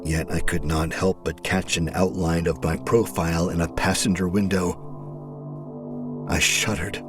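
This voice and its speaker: A haunted, exhausted man , acted by a male narrator, tells his horror diary in a very low voice. He speaks slowly and gravely, irritable and coming unhinged, with music underneath. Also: little pitch movement. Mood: haunted, uneasy. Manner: slow, grave, haunted delivery. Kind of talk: dramatic first-person horror narration.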